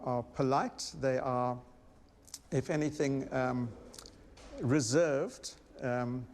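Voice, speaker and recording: A man, a university lecturer, answering interview questions in a thoughtful, hesitant way with pauses. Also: mid-range voice, darkish tone.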